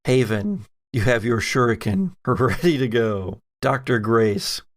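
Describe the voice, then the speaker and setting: Man, game master, creaky low-end fry, unhurried, dramatic horror narration, close dry sound. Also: animated, dry recording. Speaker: game master of a tabletop RPG podcast.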